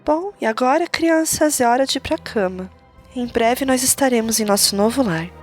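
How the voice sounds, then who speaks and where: A woman's voice: on the high side, animated, moderate pace, faint music behind. A woman playing a practical mother on a space voyage in an audio drama.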